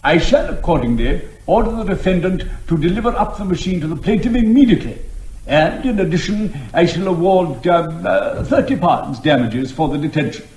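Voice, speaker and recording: An actor playing an impatient, scathing judge in a British comic radio drama, with an English accent and a formal, curt manner. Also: male voice, high-pitched, expressive, a little mellow, a little hiss or hum. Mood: scathing, deliberate. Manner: deliberate, formal, dry, curt. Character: brisk, impatient, dryly scathing male judge. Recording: roomy, echoing courtroom-like sound.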